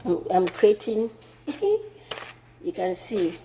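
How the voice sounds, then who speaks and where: Slow, mellow Ghanaian woman cooking at home. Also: mid-range voice, slight background noise. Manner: relaxed, repeats herself while working. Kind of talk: casual cooking demonstration. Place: home kitchen.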